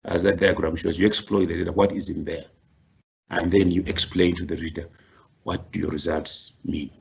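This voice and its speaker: A man's voice: sits low, little pitch movement, moderate pace, creaky, husky. A middle-aged man giving a university workshop lecture, explaining step by step with frequent restarts and sudden rising stresses on key words.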